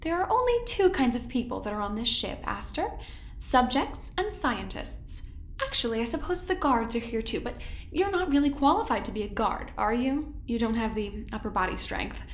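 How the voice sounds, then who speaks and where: An actress playing a coolly menacing doctor in charge in an audio drama, condescending and falsely polite. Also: female voice, fairly high pitch, lively intonation, moderate pace, reverberant, a little hiss or hum, close to an everyday voice. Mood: veiled threat.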